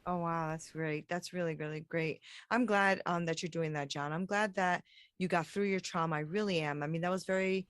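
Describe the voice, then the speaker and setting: A woman's voice: lowish voice, steady tone, brisk, smooth, no echo, studio quality. A woman hosting a podcast interview, encouraging her guest with frequent short affirmations. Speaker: podcast host. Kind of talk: casual interview talk.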